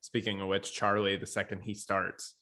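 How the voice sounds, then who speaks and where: A man's voice: low voice, monotone, normal speed, pushed and bright. A man speaking casually as a co-host in a sports discussion.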